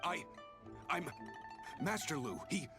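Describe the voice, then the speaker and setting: An actor playing a slippery middle-aged merchant in a video game, indignant and blustering, easily flustered. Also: male voice, fairly high pitch, lively intonation, slow. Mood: flustered, panicked. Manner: blustering, stammering. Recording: music behind the voice. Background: game music.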